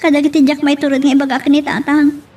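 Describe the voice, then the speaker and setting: A woman's voice: high-pitched, flat delivery, fast talker, darkish tone, close to an everyday voice. A woman acting an earnest, distressed, pleading woman in an Ilocano romance radio drama.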